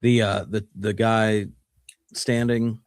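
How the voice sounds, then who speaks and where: A man's voice: on the low side, flat delivery, takes it slowly, no room sound, studio quality. A man co-hosting a radio show with casual, laid-back banter and speculation.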